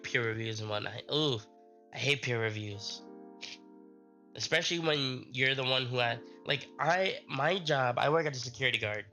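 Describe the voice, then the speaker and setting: A young adult man, sick with a strained voice, chatting casually on a live stream in a halting, rambling way. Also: mid-range voice, bright, forceful tone.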